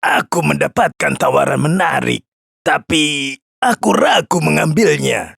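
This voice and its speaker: A man voicing a greedy, brash well owner with a raspy, gravelly voice in an animated children's story. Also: fairly high pitch, a little slow, no room sound, clean studio sound, exaggerated voice. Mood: hesitant. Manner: brash, dismissive, exaggerated. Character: greedy, gruff male well owner, scheming merchant.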